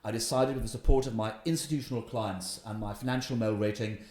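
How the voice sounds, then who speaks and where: A man in his fifties with a Welsh accent, telling his story in an interview with animated, emphatic delivery. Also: lowish voice, quick pace, echoey room. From Wales. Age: 50s. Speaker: investment guest. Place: room in his house.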